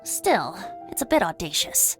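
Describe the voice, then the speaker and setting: A woman's voice: lowish voice, big pitch swings, unhurried, soft background music. A woman voicing a mischievous boyish traveling bard in a video game, speaking in a flowery, theatrical lilt. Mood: theatrical, playful. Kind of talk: video game character acting.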